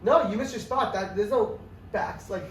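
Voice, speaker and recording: A young man from the New York City area, a guest comedian, telling a story in casual chat, rambling and excitable with lots of restarts. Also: high voice, moderate pace. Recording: echoey room, mic at a distance, faint noise.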